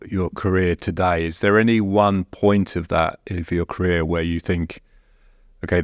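A man hosting an interview, asking long, measured questions. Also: low-pitched, gravelly, no room sound, studio quality. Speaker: podcast host, interviewer.

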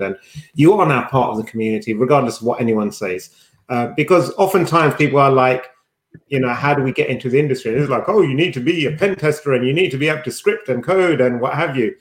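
A man hosting a podcast, putting questions to a guest in a casual, conversational way. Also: mid-range voice. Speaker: podcast host. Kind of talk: casual interviewing. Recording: echoey room.

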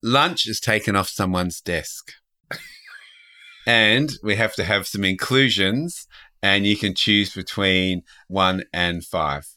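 A man's voice: on the low side, takes it slowly, bright, forceful tone. A man hosting a podcast in a casual, playful way, chatting and improvising stories. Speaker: podcast host. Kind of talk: casual chat and improvised storytelling.

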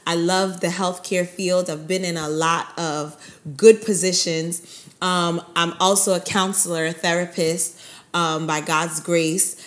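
A woman's voice: fairly low pitch, fairly even intonation, a little slow. A woman from Brooklyn, co-hosting a faith talk show in a chatty way and often saying "by God's grace". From Brooklyn, New York. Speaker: co-host of a ministry show. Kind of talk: casual conversational talk.

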